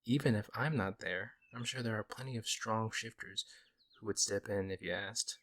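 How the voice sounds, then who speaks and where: A man's voice: on the low side, normal speed, a bit bright. A man playing a friendly, teasing, protective werewolf in an ASMR audio roleplay, talking casually and playfully to the listener. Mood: reassuring, protective.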